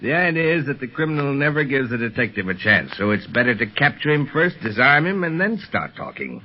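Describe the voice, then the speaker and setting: An actor playing an authoritative, middle-aged secret police chief in a 1930s radio serial, measured and commanding. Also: male voice, medium pitch, sing-song. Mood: calm, persuasive. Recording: dull scratchy 1930s radio recording.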